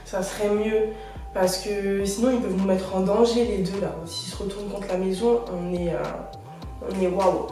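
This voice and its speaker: A woman's voice: medium pitch, steady tone, normal speed, dark tone. A woman on a reality show, talking bluntly and scheming in casual slang. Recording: echoey room, from far off, music behind.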